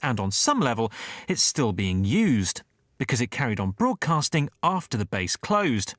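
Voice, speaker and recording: A man's voice: medium pitch, big pitch swings, normal speed, fairly bright tone, no room sound, clean studio sound. A man reading scripted investigative narration as a documentary narrator.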